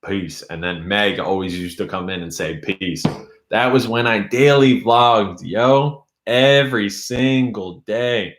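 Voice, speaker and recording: A young man hosts a casual live stream, rambling through viewer comments in a loose, friendly way. His voice sits a little low and sounds tired from hours of talking. The room around him is noticeably echoey. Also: slow pace, fairly bright tone. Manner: loose, rambling, reads chat aloud. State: losing his voice. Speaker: YouTube host.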